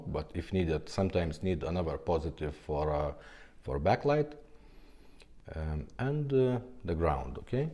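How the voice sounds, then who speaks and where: A man who speaks English as a second language, giving an informal hands-on tutorial in a halting way, often checking in at the ends of phrases. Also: sits low, mellow. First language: not English. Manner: halting, repeatedly ending phrases with a checking "okay?". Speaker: video tutorial host.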